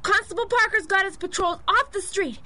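A woman playing an eager, excitable young street-kid boy with slangy speech in a radio adventure drama. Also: very high-pitched, a little fast, full character voice. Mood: brisk reporting. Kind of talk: 1930s-style radio adventure acting.

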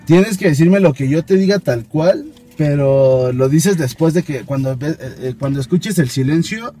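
A young man with a Mexican accent improvising a phone prank in a halting, hesitant way, recorded inside a small car. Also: on the high side, expressive, dark tone, soft background music. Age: young adult. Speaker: prank caller, video host. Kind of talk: improvised prank phone call and casual chat. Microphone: camera at arm's length.

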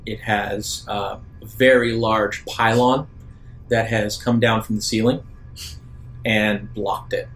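A man working as a game master, improvising narration for a tabletop game in a halting, deliberate way. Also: fairly even intonation, fairly bright tone, faint music behind. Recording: rumbly background noise.

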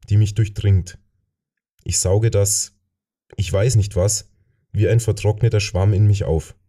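A man's voice: on the low side, dark tone. A man narrating a novel in the first person as a scripted audiobook reading.

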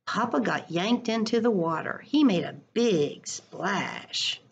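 Slow, husky woman reading a children's story. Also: medium pitch, muffled recording. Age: middle-aged. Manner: deliberate, expressive storytelling. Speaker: school educator reading to children. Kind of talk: children's story read-aloud. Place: living room at home. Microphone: computer webcam at arm's length.

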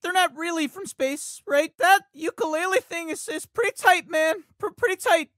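A man's voice: very high voice, normal speed, pushed and bright, no echo, studio quality. A man voicing an anxious, awkward fourteen-year-old cartoon boy for a video game, with a cracking adolescent voice and a hesitant, stammering delivery. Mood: nervous, chatty. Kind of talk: video game character voice lines.

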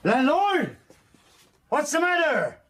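An actor playing a loyal, uneasy sailor and war veteran in a radio drama, earnest and plain-spoken. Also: male voice, very high-pitched, huge pitch swings, slow pace, exaggerated voice. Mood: alarmed. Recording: noisy old-time radio broadcast.

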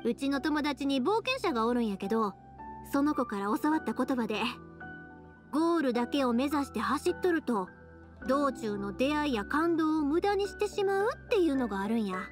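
A woman's voice: sits high, very animated, moderate pace, over music. A woman playing a cheerful, caring young woman in an anime game, chatty and friendly, with a Kansai accent. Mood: gentle, caring. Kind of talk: anime game character dialogue.

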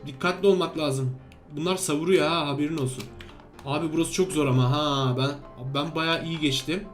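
Gaming host, male, notably high voice. Exclamatory, bantering co-op commentary over light background music. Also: fairly even intonation, brisk. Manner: bantering, exclamatory, repeats instructions. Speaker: gaming video host playing a character named Steve. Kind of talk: excited game commentary with a co-player.

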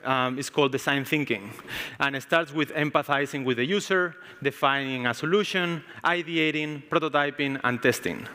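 Spanish-accented man in his 30s, conference speaker, bright tense voice, long hall reverb, relaxed and chatty with ums. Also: mid-range voice. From Spain. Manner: casual, frequent ums and restarts. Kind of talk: tech conference talk. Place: large conference stage.

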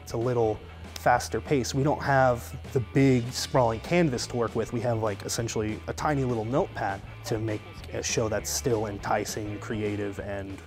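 A man's voice: on the low side, steady tone, normal speed. A young man giving interview answers, recorded indoors on a lapel mic. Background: faint music.

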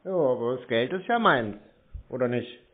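A man's voice: medium pitch, animated, normal speed. A man chatting casually on the phone in a laconic, stubbornly insistent way. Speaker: prank callee baiting phone scammers. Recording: muffled narrowband phone line.

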